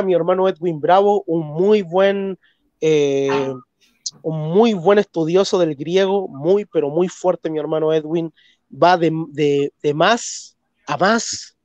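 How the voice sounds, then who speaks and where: A man's voice: sits high, a little mellow, no echo, dull audio. A Chilean man with a Chilean Spanish accent and a congested voice, hosting a live stream and arguing earnestly about theology. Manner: earnest, insistent, repeats phrases. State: congested, clearing throat. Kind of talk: live stream debate talk.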